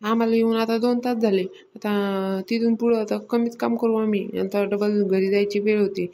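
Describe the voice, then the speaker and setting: A woman's voice: mid-range voice, steady tone, moderate pace, warm tone, slight background noise, close to an everyday voice. A woman playing a bossy, hardworking farmer's wife in a comedy cartoon, plain-spoken and chiding, with a rural Maharashtra Marathi accent.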